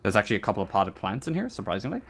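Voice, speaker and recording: A man narrating a tabletop game as game master in a casual, halting, improvised way. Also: on the low side, very animated, creaky, fairly bright tone.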